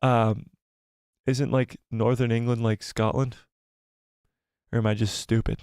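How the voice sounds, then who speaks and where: An excitable young man hosts a casual live stream, rambling and laughing between comments. His voice sits in a middle range and sounds a little worn, as if he is losing it. The sound is dry and close to the mic. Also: medium pitch, unhurried, no room sound. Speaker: YouTube channel host.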